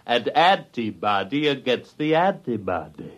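An actor playing a wry, sheepish scientist with a head cold, explaining things in measured 1950s radio style. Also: male voice, mid-range voice, very animated, fairly bright tone. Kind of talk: 1950s radio drama acting. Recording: dull old 1950s radio recording.

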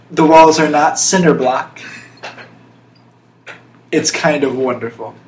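Higher-voiced young man in an echoey room. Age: young adult. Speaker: podcast host. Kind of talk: casual podcast chat with playful monologues. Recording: roomy echo, faint background noise.

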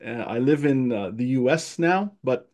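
A man's voice: mid-range voice, animated, normal speed, a little mellow. Earnest man recounting his family history. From Egypt. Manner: earnest, thoughtful, many restarts and fillers. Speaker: guest. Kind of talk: casual conversation, personal history.

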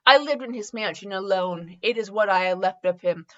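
A woman's voice: medium pitch, moderate pace, highs cut off, pitched below the performer's own voice, natural voice. A woman reading dramatic fan fiction as a lovestruck young male writer, earnest and wistful. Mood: wistful, grieving.

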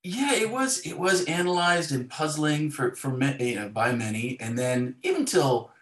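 A man's voice: fairly high pitch, lively intonation, moderate pace. A man speaking as an interview guest, reflecting conversationally and often restarting his phrases as he thinks. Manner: hesitant, self-correcting, thoughtful phrasing with restarts. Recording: roomy, echoing sound.

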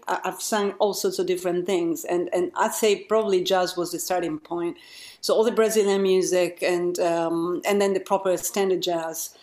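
A woman's voice: medium pitch, slight background noise. A woman singer as an interview guest, talking things through in loose, searching phrases. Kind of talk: casual conversation.

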